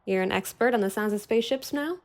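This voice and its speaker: A woman playing a dry-witted young cyborg woman, guarded but kind, with a deadpan delivery in an audio drama. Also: medium pitch, fast talker, dry recording, natural voice.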